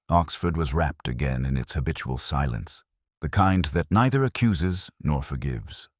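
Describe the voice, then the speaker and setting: Very deep-voiced, world-weary detective narrator played by a man. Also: breathy edge, dry recording, studio quality, full character voice. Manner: brooding, literary, measured phrasing. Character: world-weary, erudite male police detective inspector narrating a case. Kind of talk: scripted first-person mystery narration.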